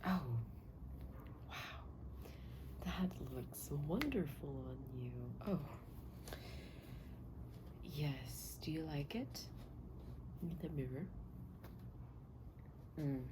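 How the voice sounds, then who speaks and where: A woman speaking softly and breathily in an ASMR stylist roleplay, often slipping into a whisper, with a gentle, polite, attentive manner. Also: deep voice, lively intonation, drawn-out words, long pauses, noisy background. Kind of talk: soft-spoken ASMR roleplay.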